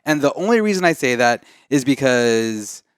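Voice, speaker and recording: A man's voice: mid-range voice, very animated, normal speed, smooth, no room sound, studio quality. A man co-hosting a video game show, chatting casually about a film in a jokey, emphatic way and often repeating himself. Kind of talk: casual film review chat.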